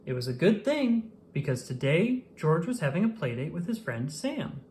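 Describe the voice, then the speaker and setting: A person reading a children's storybook aloud in an expressive, animated way with dramatic emphasis. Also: medium pitch, normal speed, a little hiss or hum. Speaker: children's book reader.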